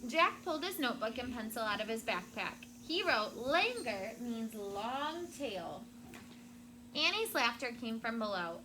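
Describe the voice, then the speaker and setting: Casting: female elementary teacher, higher-pitched, expressive read-aloud with simple explanations, noisy recording. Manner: expressive reading, child-friendly explaining. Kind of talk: read-aloud storytelling to children, with questions and vocabulary asides. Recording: loud background noise.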